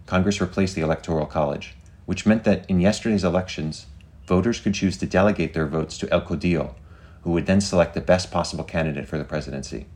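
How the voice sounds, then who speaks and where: A man's voice: low voice, fast talker, darkish tone, natural voice. Man acting an earnest young government agent. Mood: formal, earnest. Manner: formal, polite, urgent. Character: earnest, deferential young Secret Service inspector.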